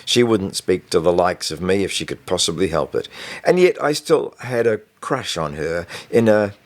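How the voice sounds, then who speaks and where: A man's voice: low voice, expressive, normal speed. A man reading his own novel as scripted audiobook narration, telling a first-person story in a conversational way.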